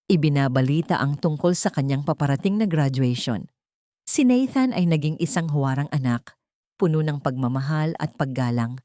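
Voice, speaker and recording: A woman's voice: fairly low pitch, warm tone, no echo, clean studio sound. A woman narrating a scripted dramatic story in a formal, sentimental storytelling manner. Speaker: audiobook narrator.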